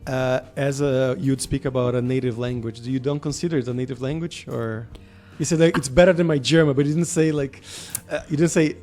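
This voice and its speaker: Man, interview host, mid-pitched voice, enthusiastic, lots of fillers, faint music behind. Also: fairly even intonation, brisk, few pauses, a little mellow. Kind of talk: casual interview chat.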